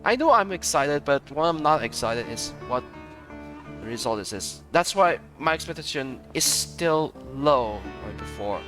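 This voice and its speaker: A man's voice: a little high, very animated, unhurried. A man giving casual, rambling live commentary as he plays a game. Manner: rambling, often breaking into laughter. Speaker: streamer reacting to a game playthrough. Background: game music behind the voice.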